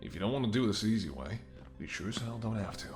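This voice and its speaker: A man's voice: fairly low pitch, animated, fast talker, soft background music. A man playing a menacing, smirking mercenary ex-bodyguard in an audio roleplay, with a taunting, sardonic way of talking. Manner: taunting, sardonic, swearing, drops to whispers. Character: menacing, smirking male mercenary ex-bodyguard holding someone at gunpoint. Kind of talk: dramatic audio roleplay spoken to the listener.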